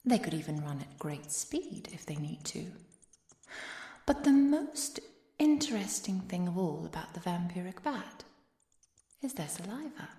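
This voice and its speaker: A woman playing a composed, caring professor and vampire hunter in an ASMR roleplay, speaking softly and precisely, often near a whisper. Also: on the low side, sing-song, husky, no room sound, exaggerated voice. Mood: scholarly lecturing. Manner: measured, precise, softly commanding.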